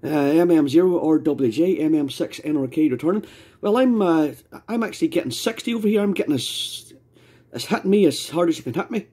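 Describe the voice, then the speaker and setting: A man's voice: a little high, fairly quick, mellow, breathy edge. A Scottish man chatting casually as an amateur radio operator, rambling and repeating his phrases. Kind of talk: casual ham radio chat.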